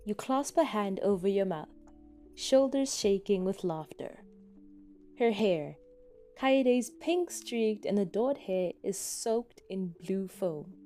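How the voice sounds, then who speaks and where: A woman reading scripted fan fiction as a dramatic narrator, with expressive, deliberate phrasing. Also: medium pitch.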